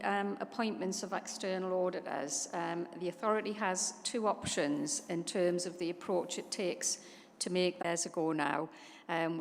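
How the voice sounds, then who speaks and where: A woman's voice: lowish voice, gravelly, a little hiss or hum. A woman finance officer briefing a council meeting, formal and hesitant with many ums. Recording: echoey council chamber.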